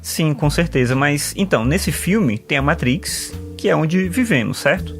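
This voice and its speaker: A man's voice: a little high, animated, a little slow. A man playing a talkative male philosopher and sociology teacher in an audio drama, earnest and fond of quoting thinkers, with faint music underneath. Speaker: patient.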